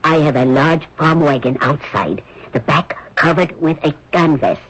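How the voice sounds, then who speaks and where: A woman's voice: low voice, animated, measured pace, breathy edge, full character voice. An actress playing an earnest French Resistance woman who rescues children, in 1980s radio drama style. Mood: earnest, urgent. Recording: dull, very noisy old radio broadcast.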